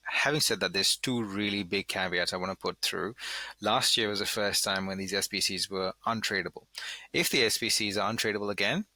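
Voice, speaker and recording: Bright, forceful low-voiced male podcast co-host. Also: brisk, dry recording. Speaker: podcast co-host giving game tips. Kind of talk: casual gaming advice chat.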